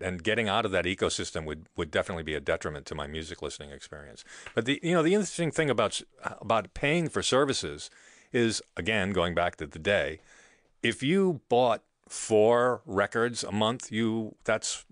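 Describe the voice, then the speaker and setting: A man's voice: low voice, fairly bright tone, dry recording, clean studio sound. A man co-hosting a podcast, chatting in an agreeable, easygoing way. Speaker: podcast co-host. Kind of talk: casual chat.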